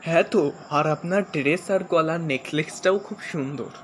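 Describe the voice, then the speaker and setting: A man voicing a flattering, teasing flirt in a comic cartoon skit. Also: fairly high pitch, fairly quick, a little hiss or hum, close to an everyday voice. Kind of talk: comic cartoon dubbing in short joke skits.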